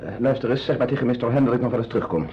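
An actor playing a self-important, opinionated husband in a radio drama, confiding in listeners in a wordy way and hesitant with strangers. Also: male voice, mid-range voice, expressive, rapid-fire, a little hiss or hum, close to an everyday voice. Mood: irritated. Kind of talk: radio drama acting with narrated asides. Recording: dull, lo-fi old radio play recording.

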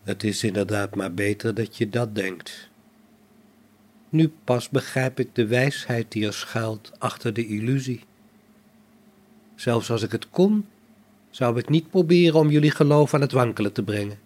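Man playing a slow-spoken, serene, pious robot. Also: medium pitch, a little mellow, close to an everyday voice. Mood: solemn pity. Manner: solemn, formal, measured. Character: serene, pious, male robot, devoted to its maker. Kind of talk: radio play acting.

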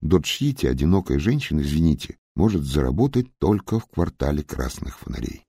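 Man voicing frank young storyteller, husky and lively. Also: extremely low pitch, a little fast, no echo, clean studio sound, full character voice. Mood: matter-of-fact, sombre. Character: young Russian-American man, frankly recounting his hard family past. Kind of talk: audiobook character narration.

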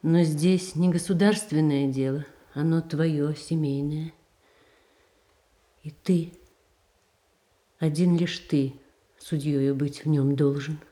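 A tsaritsa in a classic radio play. Her tone is warm and lowish, measured and earnest, gently pressing her plea. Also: steady tone. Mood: pleading. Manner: earnest, measured, softly persuasive. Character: tsaritsa Irina, gentle pleading wife. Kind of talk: 1970s Soviet radio drama.

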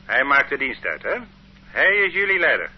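Brief: condescending, threatening state interrogator, old radio play, muffled sound. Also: male voice, mid-range voice, sing-song, normal speed, husky. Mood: stern. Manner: bullying, condescending. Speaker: official interrogating a suspect. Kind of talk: radio drama acting. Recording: dull old radio recording with faint hum.

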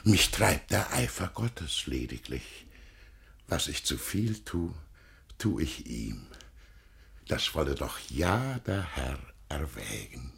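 Fanatical older cleric for radio drama: very hoarse-edged, wildly swooping pitch, unctuous and declamatory. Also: male voice, big pitch swings, slow, exaggerated voice. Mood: fawning, sanctimonious. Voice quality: gravelly, husky. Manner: declamatory, unctuous, swelling from hush to outburst. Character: zealous, scheming, fawning churchman, male, older. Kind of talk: classical German radio play acting.

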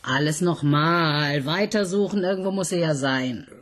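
A woman's voice: deep voice, normal speed, lightly acted. An actress playing a police chief inspector in a radio crime drama, dry, wry and commanding with her colleague.

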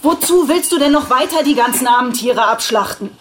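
An actress playing a righteous, eco-minded city mayor in a radio play, articulate and rhetorical. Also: female voice, high voice, animated, fairly quick, a little hiss or hum. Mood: exasperated, pleading.

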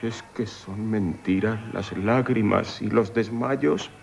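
An actor with a Castilian Spanish accent playing a proud, hot-tempered gentleman who scorns women, declaiming indignantly in a radio comedy. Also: fairly low pitch, slow, gravelly, slight background noise. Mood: bitter. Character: proud, hot-tempered woman-hating gentleman, tormented by love. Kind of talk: theatrical 1970s radio comedy acting.

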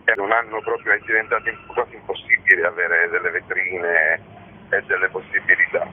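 A man's voice: deep voice, flat delivery, a little fast. A man giving conversational interview answers as a festival organiser. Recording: noisy phone-like narrow-band audio.

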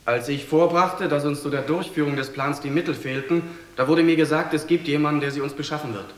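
A man playing a defendant giving measured, careful testimony in a German radio drama. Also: fairly high pitch, fairly even intonation, lightly acted. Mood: earnest. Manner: measured, explanatory. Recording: echoey hall with long reverb.

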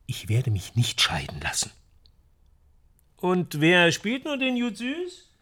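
A man's voice: high-pitched, huge pitch swings, takes it slowly, sharp tone, exaggerated voice. An actor playing a sober, cautious and sceptical man in a radio drama, speaking in terse, dry, understated lines. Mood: guarded, then dryly sceptical. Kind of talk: naturalistic radio drama dialogue.

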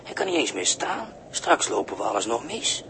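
A man acting a gruff, unsympathetic sailor, curt and dismissive, in a 1960s radio drama. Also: medium pitch, sing-song, normal speed. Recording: noisy 1960s radio recording.

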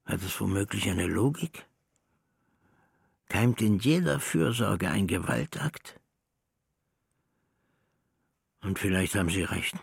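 An actor playing a pedantic school director in a theatrical drama, speaking in formal, wordy, deliberate phrases. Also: male voice, low-pitched, gravelly, husky, full character voice. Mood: bitter, brooding. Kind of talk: theatrical drama dialogue and monologue.

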